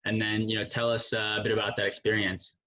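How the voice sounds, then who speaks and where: A man's voice: fairly low pitch, flat delivery, fast talker, bright, forceful tone, low-quality recording. A man hosting a casual interview in an informal, affirming manner. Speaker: interview host.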